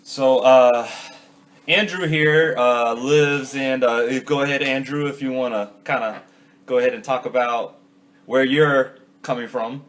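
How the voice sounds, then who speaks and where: A man hosting a casual podcast, talking in a relaxed, loose way with lots of fillers. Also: medium pitch, measured pace. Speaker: podcast host. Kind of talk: casual chat.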